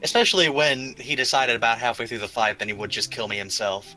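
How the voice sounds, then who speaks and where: A man's voice: medium pitch, quick pace, sharp tone, low-quality recording. A man chatting casually as a player in a tabletop game over a voice call. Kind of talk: casual chat. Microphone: remote voice call microphone. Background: background guitar music.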